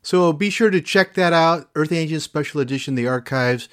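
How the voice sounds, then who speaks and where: A man's voice: a little high, normal speed, clean tone, no echo, clean studio sound. A man hosting an interview show, talking in a chatty, enthusiastic way with plenty of fillers as he promotes upcoming episodes. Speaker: podcast host.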